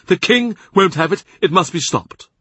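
A man voicing a domineering, blustering powerful cardinal, husky and sarcastic. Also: high voice, lively intonation, moderate pace, dry recording, voice pushed up, full character voice. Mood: imperious. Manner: forceful, imperious, sarcastic.

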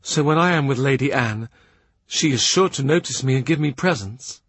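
A man's voice: mid-range voice, normal speed, a bit bright, husky, dry recording. A man voicing a young court lute player who chatters gossip. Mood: gossipy.

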